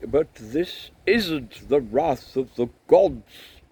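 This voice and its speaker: A man's voice: mid-range voice, very animated, slow, creaky, husky, voice pushed up, full character voice. A man voicing a beleaguered senior priest in a storybook, pleading for order in a declamatory, exasperated manner. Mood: insistent.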